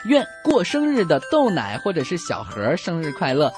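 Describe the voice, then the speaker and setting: A woman's voice: sits low, huge pitch swings, normal speed, over music. A woman co-hosting a comedy radio show, telling jokes and reading out song dedications to listeners.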